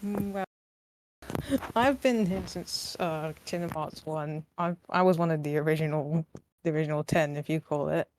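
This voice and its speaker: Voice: medium pitch, fairly even intonation, moderate pace, darkish tone, low-quality recording. A podcast guest and fandub voice actor chatting casually. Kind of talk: casual chat.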